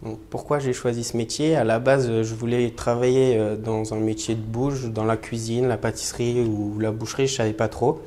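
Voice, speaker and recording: A man's voice: mid-range voice, little pitch movement, moderate pace, hardly any pauses, warm tone. A young man in his twenties from French Guiana, an apprentice butcher, talking about his training with frequent hesitations. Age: 20s. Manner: hesitant, many fillers. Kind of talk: interview portrait.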